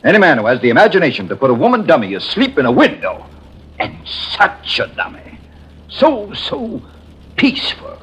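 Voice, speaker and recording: A blustering, excitable store boss. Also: male voice, fairly high pitch, moderate pace, slightly rough, exaggerated voice. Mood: jubilant, blustering. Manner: expansive, emphatic, repetitive. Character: blustering, jovial, money-minded department store boss. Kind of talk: 1950s radio drama acting. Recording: noisy, muffled vintage radio.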